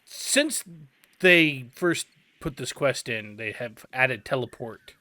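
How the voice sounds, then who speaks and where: A man's voice: medium pitch, animated, slow, pushed and bright, dry recording. A man hosting a casual let's-play, explaining in a chatty way with fillers and asides. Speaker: game channel host.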